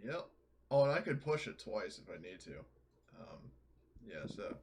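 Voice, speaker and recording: A man's voice: fairly low pitch, sing-song, moderate pace, darkish tone. A man chatting casually as a player in a tabletop roleplaying game.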